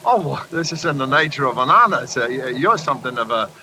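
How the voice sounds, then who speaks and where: A man's voice: medium pitch, expressive, quick pace, sharp tone. A man playing an eager, deferential traveling salesman with a folksy manner, in 1940s radio style. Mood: eager, impressed. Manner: folksy, obliging, slightly flustered. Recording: noisy old radio drama recording.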